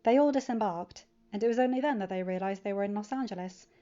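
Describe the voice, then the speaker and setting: A woman's voice: medium pitch, quick pace, mellow. Woman narrating a story, slightly muffled. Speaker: fan fiction audiobook narrator.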